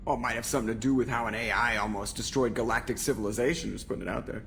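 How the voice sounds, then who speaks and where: Sarcastic, wisecracking starship pilot. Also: male voice, medium pitch, fairly even intonation, very fast, fairly bright tone. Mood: sarcastic grumbling. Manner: sarcastic, casual. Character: wisecracking male starship pilot, cocky and irreverent. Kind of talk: scripted video game dialogue. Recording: clear background noise, ship ambience.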